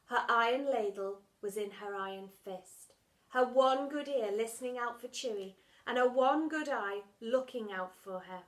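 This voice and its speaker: A woman reading a children's storybook aloud like a teacher, dramatic and expressive, punching the sound words. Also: on the high side, measured pace, poor audio.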